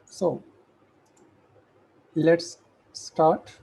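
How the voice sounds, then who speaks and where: Man, middle-aged, lecturing slowly; husky, mellow tone, a bit higher than most men. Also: fairly even intonation, low-quality recording. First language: Urdu. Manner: slow, measured, with hesitant fillers. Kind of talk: academic lecture.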